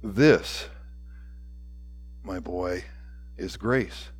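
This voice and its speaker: A man voicing a gentle, solemn older principal in a story, speaking quietly and deliberately. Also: a little high, lively intonation, faint music behind. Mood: tender. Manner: quiet, slow, deliberate, near whisper at times.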